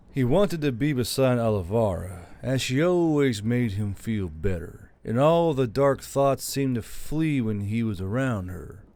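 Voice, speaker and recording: A man's voice: mid-range voice, a little mellow. A man reading a fantasy novel aloud as a scripted audiobook narrator. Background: background music.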